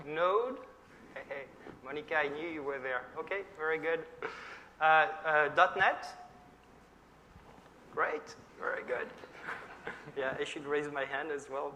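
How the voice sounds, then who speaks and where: A middle-aged man with a French accent gives a chatty conference talk, asking the audience questions and filling pauses with "uh". His voice is slightly high and bright. The sound carries a long hall reverb with faint noise behind it. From France. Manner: friendly, chatty, polls the audience, many fillers. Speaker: conference speaker.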